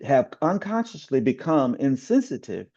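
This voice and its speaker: A man from the community reciting a written speech with oratorical emphasis, drawn-out words and falling phrase endings, in a dull, slightly lo-fi recording. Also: medium pitch, big pitch swings, warm tone. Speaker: community member reading aloud.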